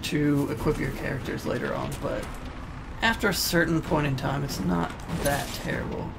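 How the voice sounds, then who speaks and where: Male gaming host, slightly high and gravelly, informal walkthrough style, clearly noisy recording with game sound behind. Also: steady tone, normal speed. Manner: informal, rambling, thinking aloud. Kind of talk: casual let's play commentary, explaining game interface. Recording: noisy voice-over over game ambience. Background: video game sounds and music.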